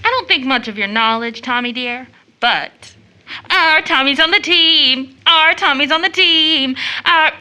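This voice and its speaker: A woman's voice: high-pitched, unhurried, bright, forceful tone, full character voice. An actress playing an impulsive, affectionate teenage daughter in an audio drama of an early 1900s play, with an American accent and a gushing, girlish manner. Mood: excited, playful. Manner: gushing, exclamatory, emotional.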